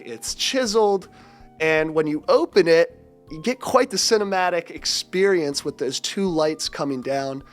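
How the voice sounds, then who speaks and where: A man's voice: on the high side, a bit bright. Congested, upbeat male gear reviewer. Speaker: audio product reviewer. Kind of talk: scripted product review.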